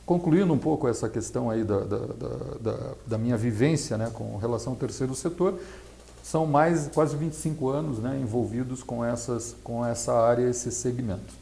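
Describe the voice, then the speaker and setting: A middle-aged man answers as an interview guest. His voice sits at an average pitch with a gravelly creak. He explains like a consultant teaching, pausing and adding tag questions. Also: warm tone. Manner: halting, didactic, frequent tag questions.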